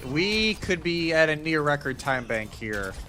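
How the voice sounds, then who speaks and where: A man giving live analytical esports commentary in a casual, conversational, somewhat halting way. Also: fairly high pitch, big pitch swings, pushed and bright.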